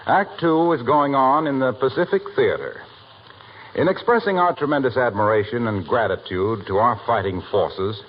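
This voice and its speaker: A male American announcer reads formal 1940s radio copy with a very animated rise and fall. The old recording is dull, with faint noise underneath. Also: mid-range voice.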